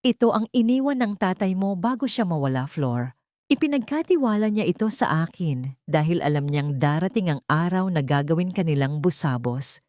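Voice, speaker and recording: A woman's voice: fairly low pitch, animated, normal speed, darkish tone, dry recording, studio quality, close to an everyday voice. A woman voicing a loyal, tearful elderly housekeeper who shares painful secrets in an audio drama. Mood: secretive, tearful.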